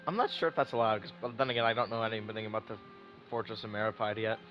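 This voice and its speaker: A man's voice: on the low side, fairly even intonation, rapid-fire, fairly bright tone, low-quality recording. A man giving rambling, wry, casual reaction commentary on a video game story. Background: game music.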